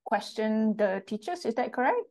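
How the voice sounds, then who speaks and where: A woman's voice: fairly high pitch, expressive, moderate pace, a little mellow. A woman from Singapore hosting an interview over a video call on a headset, asking questions and restating the guest's answers to check them. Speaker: interview host. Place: room with bookshelves, video call.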